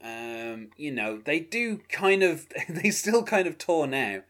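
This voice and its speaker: A man's voice: a little high, sing-song, takes it slowly, fairly bright tone. A man from the UK hosting a music podcast, talking conversationally with many "um"s and "you know"s. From United Kingdom. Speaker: podcast host.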